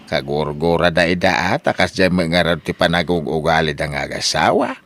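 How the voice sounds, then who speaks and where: Man acting an animated, low-voiced older father. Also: normal speed. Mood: admonishing. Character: older Ilocano father, plain-spoken, advising his grown children. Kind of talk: Ilocano audio drama acting.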